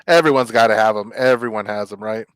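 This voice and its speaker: A man's voice: fairly low pitch, smooth, dry recording. A man co-hosting a casual web chat show, joking and teasing the others. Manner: jokey, quick to tease and laugh. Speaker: co-host.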